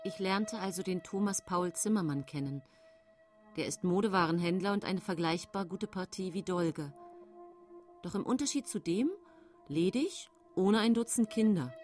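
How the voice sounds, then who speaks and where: A woman's voice: lowish voice, moderate pace, a little mellow, soft background music, close to an everyday voice. An actress playing a middle-aged female poisoner who confidingly narrates her own case in a German radio play. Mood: matter-of-fact.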